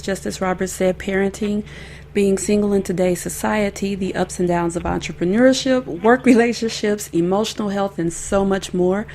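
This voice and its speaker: A woman's voice: medium pitch, dark tone, slight background noise. A woman in her thirties hosting a faith podcast, giving thanks and wrapping up an interview in an earnest way. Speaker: podcast host. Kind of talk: interview wrap-up and outro.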